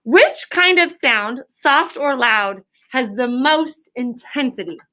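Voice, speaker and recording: A woman's voice: sits high, expressive, slow, sharp tone, low-quality recording. A woman teaching an elementary class, quizzing pupils in a firm, directive manner. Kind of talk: classroom lesson with question and answer.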